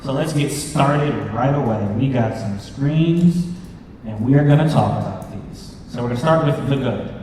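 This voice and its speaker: A man in his thirties presents a panel from far off in a big, very echoey hall. His pitch is average and his talk is loose and joking, with clear noise around him. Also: lively intonation, moderate pace, a little mellow. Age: 30s. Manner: joking, informal. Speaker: panel co-host, movie reviewer. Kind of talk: casual convention panel presentation. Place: convention panel room with audience, indoors.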